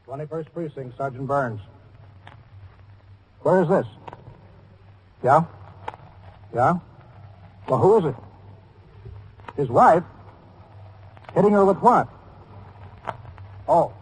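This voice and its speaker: High-voiced busy desk sergeant. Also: male voice, animated, takes it slowly, long pauses, darkish tone. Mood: brisk. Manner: clipped, procedural phone talk. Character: busy, businesslike male desk sergeant taking calls. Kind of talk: 1950s radio drama acting. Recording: faintly noisy old radio recording.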